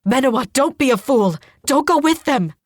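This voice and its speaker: A woman plays a defiant young warrior prince in an audiobook, hot-blooded and protective. His speech is urgent and forceful, pitched high and recorded dry. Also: lively intonation, fast, no room sound. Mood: outraged.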